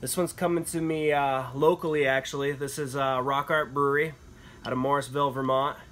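A man's voice: mid-range voice, brisk. Young man casually reviewing beer. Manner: relaxed, enthusiastic tasting comments with frequent fillers. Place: small indoor room with wood-paneled walls.

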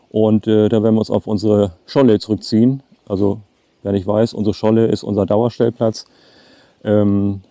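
Male travel vlogger, late 50s, casual delivery, very dark soft-edged tone, dry outdoor sound. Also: lowish voice, no echo. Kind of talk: casual chat to camera. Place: outdoors by a lake.

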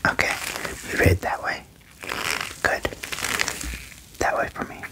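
A young man whispering gently into a close microphone in an ASMR medical roleplay, with short reassuring phrases. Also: a little slow, rough recording. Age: young adult. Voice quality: whispered, breathy. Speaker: ASMR performer playing an alien doctor. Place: small wood-panelled room indoors. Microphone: close microphone with furry windscreen.

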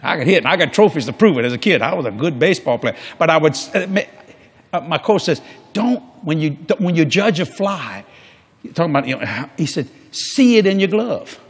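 A man's voice: fairly high pitch, expressive, fairly quick, breathy edge. An older man preaching a sermon on a large church stage before a congregation, folksy and jokey, acting out quoted people in different voices.

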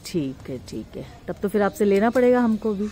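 A woman's voice: mid-range voice, big pitch swings, moderate pace, warm tone. A woman with an Indian accent chatting casually and inquisitively as a vlog host, mixing Hindi and English. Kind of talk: casual vlog commentary and chat with artisans. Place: outdoors in an open yard.

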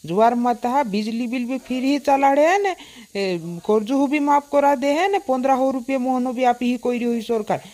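A woman's voice: high voice, normal speed, a little mellow, close to an everyday voice. A woman playing a defiant village voter in a cartoon comedy, scolding and insistent.

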